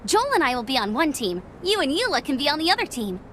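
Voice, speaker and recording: A woman's voice: high voice, sing-song, fast, fairly bright tone, a little hiss or hum. A woman playing a perky, friendly and eager young female adventurer in a video game. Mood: upbeat.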